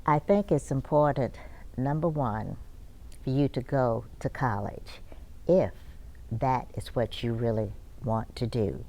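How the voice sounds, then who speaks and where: A woman giving an emphatic, measured interview answer as an alumni president. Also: extremely low pitch, mellow. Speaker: college alumni association president.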